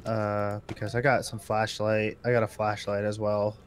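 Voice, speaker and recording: Lowish-voiced man, faintly noisy game chat. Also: flat delivery, normal speed. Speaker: co-player on a game stream. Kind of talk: casual chat while gaming.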